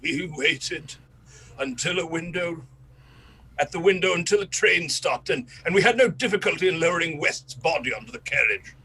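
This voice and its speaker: Cornered traitor colonel played by a man, English, bright forceful voice, agitated and pleading, radio drama, noisy recording. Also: a little high, lively intonation, normal speed. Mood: broken, remorseful. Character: disgraced English colonel, cornered traitor, anguished, self-pitying. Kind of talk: radio play acting.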